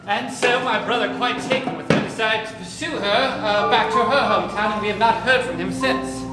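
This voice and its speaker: A man's voice: high-pitched, a little fast, close to an everyday voice. A man doing a vengeful stranger seeking his brother. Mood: grim. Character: grim stranger hunting his missing brother, claims Welsh. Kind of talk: improvised stage thriller. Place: theater stage. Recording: echoey live theater with music.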